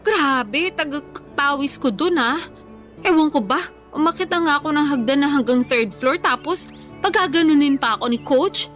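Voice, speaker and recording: High-voiced, stubborn Filipina food lover. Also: female voice, lively intonation, normal speed, a bit bright, soft background music. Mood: defensive, whiny. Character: stubborn, food-loving overweight young woman, cheeky and unbothered. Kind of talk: Filipino radio drama acting.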